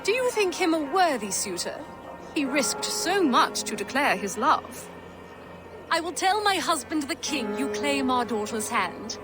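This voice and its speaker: A woman's voice: sits high, very animated, measured pace, music in the background. A woman voicing a gracious queen speaking kindly to a young boy. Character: gracious queen and mother, refined.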